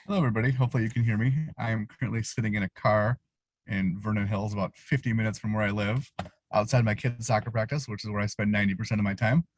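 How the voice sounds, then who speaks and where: Low flat-voiced man in a car. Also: fast talker, dry recording. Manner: relaxed, filler-heavy, reassuring. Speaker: associate program director. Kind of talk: casual video call introduction. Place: parked car. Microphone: video call.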